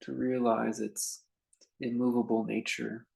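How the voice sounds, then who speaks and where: A man gives a guided meditation talk in a medium-pitched voice with a noticeable creak. He teaches contemplatively and without hurry, breaking his thoughts into short phrases with long pauses between them. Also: little pitch movement, darkish tone.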